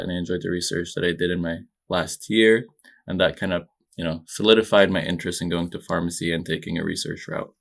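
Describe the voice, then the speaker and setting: Deep gravelly-voiced young man, calm. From Egypt. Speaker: podcast guest. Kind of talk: conversational interview answers.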